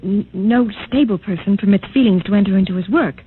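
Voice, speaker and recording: An actress playing a reserved, clinical geneticist professor in a mid-century radio drama, speaking in a formal, clipped way. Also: female voice, mid-range voice, a little fast, breathy edge. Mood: cool, guarded. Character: reserved female geneticist professor in a regimented future. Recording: tinny, noisy old radio recording.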